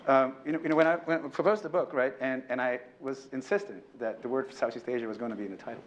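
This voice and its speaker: A man speaking on an academic panel, thoughtful and hesitant, often pausing with fillers and tag questions. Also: medium pitch, dull audio. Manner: hesitant, many fillers and "right?" tags. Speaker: panelist, former fellow.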